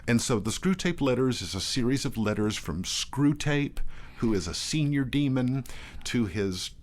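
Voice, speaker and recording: A man talking as a guest in a casual in-depth discussion, often restarting his phrases in a halting way. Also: medium pitch, lively intonation. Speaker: guest, theatre artistic director and performer.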